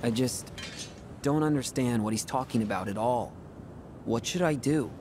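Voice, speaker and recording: A man's voice: medium pitch, normal speed, background noise, natural voice. A man voicing an earnest, idealistic young soldier hero in a video game, with a casual, open and emphatic manner. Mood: sincere, uncertain. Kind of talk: dramatic video game voice acting.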